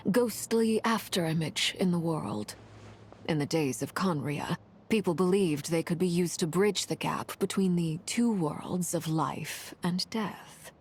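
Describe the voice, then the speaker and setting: Slow, solemn mystical woman. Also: lowish voice, darkish tone, slight background noise. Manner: measured, grave, formal. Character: solemn, composed young woman, mystical ritual keeper. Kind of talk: fantasy game voice acting.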